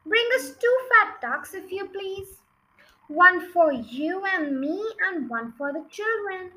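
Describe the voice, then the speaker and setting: A woman voicing a caring fox wife and mother in a storybook, gently bossy and concerned. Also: extremely high pitch, lively intonation, slow, pitched above the performer's own voice, full character voice, low-quality recording. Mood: requesting.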